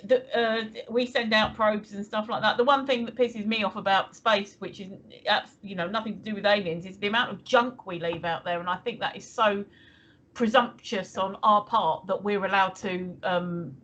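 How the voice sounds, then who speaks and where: A woman's voice: mid-range voice, fairly even intonation, normal speed, fairly bright tone. A woman in her fifties co-hosting a casual livestream chat, blunt, with hesitant starts and mild swearing. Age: 50s. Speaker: livestream co-host. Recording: dull lo-fi audio with faint noise.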